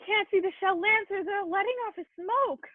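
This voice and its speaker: An anxious army gun observer in a radio play, acted by a woman. The voice is extremely high, reporting to a superior through thin, muffled audio. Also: moderate pace, no echo. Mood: alarmed.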